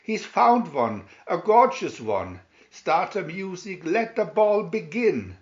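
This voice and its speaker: A man voicing an excitable, grumbling old king with a blustering manner in a fairy tale. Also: sits high, slow pace, reverberant, dull audio, pitched above the performer's own voice, heavily acted. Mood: jubilant. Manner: blustering, growling.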